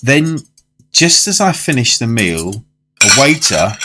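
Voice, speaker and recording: An actor playing an urbane, polite man who narrates a macabre tale in a radio drama, with measured, genteel storytelling. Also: medium pitch, big pitch swings, pushed and bright, exaggerated voice. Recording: music behind the voice.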